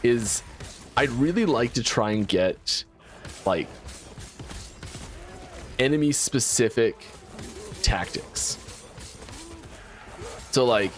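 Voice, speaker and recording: A man's voice: medium pitch, animated, slow pace, long pauses, soft background music. A man who works as a game developer talks casually on a stream, rambling with many restarts. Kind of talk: casual dev stream talk.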